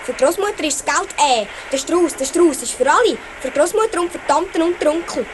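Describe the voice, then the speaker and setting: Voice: sits high, lively intonation, a little fast, a little hiss or hum. An actor playing a polite, eager village schoolboy of about twelve in a Swiss German children's radio play. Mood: polite, chatty. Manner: very polite, chatty, earnest.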